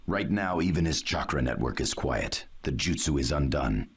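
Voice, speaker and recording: An actor playing a stern veteran male ninja bodyguard in a game cutscene, who speaks formally and in a measured way. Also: very deep voice, lively intonation, slightly rough, heavily acted.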